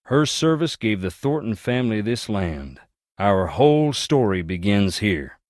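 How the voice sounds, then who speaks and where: A man's voice: fairly low pitch, sing-song, measured pace, no room sound, studio quality. An actor playing a folksy, wry Southern man who hunts ghosts, speaking with a Southern US accent and a drawl in a video game. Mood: storytelling. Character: folksy Southern ghost hunter, wry, haunted by family losses. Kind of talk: video game character dialogue.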